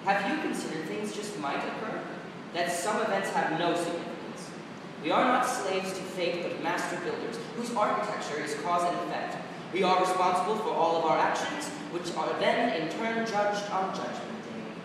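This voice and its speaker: A man plays a philosophical dream figure, a believer who reasons in measured, declamatory verse. The stage reading rings through an echoey hall, picked up from far away over clear noise. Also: high-pitched, close to an everyday voice. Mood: persuasive. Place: large reverberant performance room. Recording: echoey noisy hall, distant mic.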